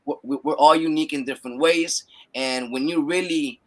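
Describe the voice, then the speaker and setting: A young man from Peru, raised in the United States, talking as a musician guest in a relaxed way, often repeating words and checking in with "right?". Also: fairly high pitch, brisk. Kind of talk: casual interview chat.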